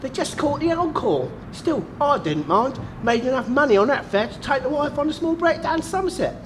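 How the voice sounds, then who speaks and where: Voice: sits high, sing-song, moderate pace, background noise. A performer playing a matey, rambling male cab driver in a comic radio sketch. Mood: chatty grumbling. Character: chatty, grumbling male London cab driver.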